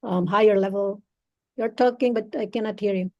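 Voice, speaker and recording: A woman tutoring English over a video call, talking slowly and encouragingly with many fillers. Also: mid-range voice, fairly even intonation, a little mellow, highs cut off. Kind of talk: encouraging online speaking practice.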